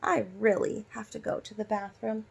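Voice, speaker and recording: A woman voicing a frank, grumbly little girl from a storybook in an expressive, blunt way. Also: medium pitch, moderate pace, creaky, breathy edge. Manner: expressive, blunt read-aloud delivery.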